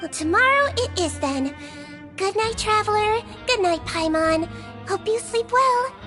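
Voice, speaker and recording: A small, sweet head nurse from a video game speaks in a very high, soft, girlish voice. The manner is polite, reassuring and professional, over faint music. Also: animated, a little slow. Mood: gentle. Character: gentle, caring young female head nurse, small and sweet.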